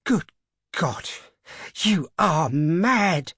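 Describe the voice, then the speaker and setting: A man voicing an anxious old husband with an unsteady, halting delivery in a dramatic storybook reading. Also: extremely high pitch, big pitch swings, a little mellow, breathy edge, no room sound, clean studio sound, voice pushed up, heavily acted. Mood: fierce, aghast.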